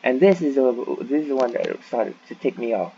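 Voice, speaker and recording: Male gaming host, medium pitch, casual, halting, repetitive phrasing, dull recording. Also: expressive, dark tone, a little hiss or hum. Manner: halting, repeats phrases for emphasis. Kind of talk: casual playthrough commentary.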